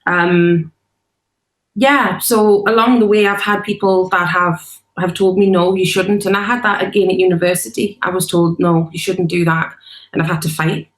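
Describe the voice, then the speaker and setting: A woman's voice: lowish voice, fairly even intonation, moderate pace. A woman speaking as a panel guest, thoughtful and hesitant, often restarting her sentences. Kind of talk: panel discussion. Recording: roomy echo.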